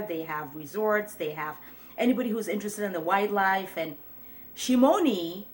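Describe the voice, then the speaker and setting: A young woman from Kenya talking earnestly to her viewers in a casual, reflective vlog, with many pauses and restarts. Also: mid-range voice. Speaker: vlogger. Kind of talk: casual vlog talk to camera.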